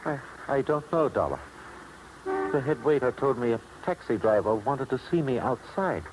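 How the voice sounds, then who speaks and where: An actor playing a dazed, indignant gem buyer in a 1950s radio drama, halting as he protests. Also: mid-range voice, animated, darkish tone, lightly acted, rough recording. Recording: vintage radio, faint background noise.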